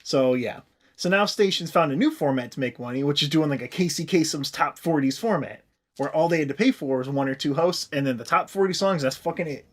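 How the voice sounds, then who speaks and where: An American man co-hosting a comedy podcast, explaining history in casual, profane, animated chat. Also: fairly high pitch, a little fast. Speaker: podcast co-host.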